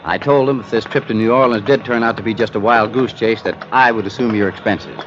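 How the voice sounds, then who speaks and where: A man's voice: lowish voice, brisk, fairly bright tone, natural voice. An actor playing a worried, hesitant businessman, earnest and formal, in a 1950s radio drama. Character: worried, hesitant businessman, senior investment partner. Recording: muffled vintage radio recording, background noise.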